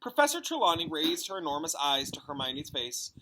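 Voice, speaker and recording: A male teacher narrating a storybook aloud in an expressive, informal way. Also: on the high side, a little fast, rough recording.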